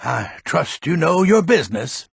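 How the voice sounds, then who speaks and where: Gravelly, raspy grumpy old Nordic warrior. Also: male voice, on the high side, big pitch swings, a little slow, dry recording, studio quality, full character voice. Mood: gruff, resolute. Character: grumpy old fantasy Nordic man, proud and gruff. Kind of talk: video game character dialogue.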